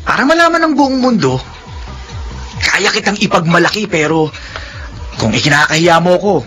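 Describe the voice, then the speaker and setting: A man's voice: sits high, sing-song, normal speed, pushed and bright, faint music behind, full character voice. An actor playing a frustrated, proud young man in a secret love, in a melodramatic Filipino audio drama. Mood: frustrated pleading.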